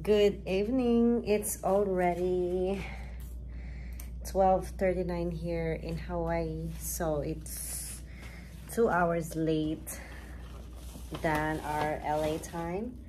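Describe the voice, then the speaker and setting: A young woman chatting to her phone camera in a hotel room, gushing and tired, her voice trailing off softly at times. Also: lowish voice, steady tone, very slow. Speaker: vlogger. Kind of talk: casual travel vlog. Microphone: phone at arm's length. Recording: noisy phone recording.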